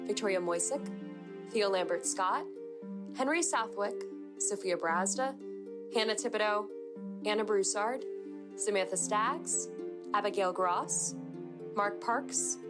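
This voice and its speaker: A woman announcer reading the scripted closing credits of a horror podcast. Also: mid-range voice. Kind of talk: scripted podcast outro narration. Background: loud music.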